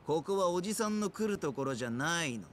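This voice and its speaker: A swaggering young gangster in a crime game, drawling his taunts. The voice is high and swoops widely, over some rumbling noise. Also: animated, quick pace, fairly bright tone. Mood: mocking. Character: mocking, swaggering young gang punk. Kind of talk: video game cutscene voice acting.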